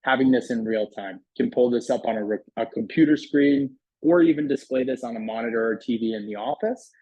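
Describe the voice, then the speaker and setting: A man talks through a software demo in a conversational, explanatory way. His mid-pitched voice is a little husky, and the close recording is very dry. The delivery is casual sales patter. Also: a little fast, dry recording, dull audio. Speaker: software product demonstrator. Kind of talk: casual product demo walkthrough voice-over.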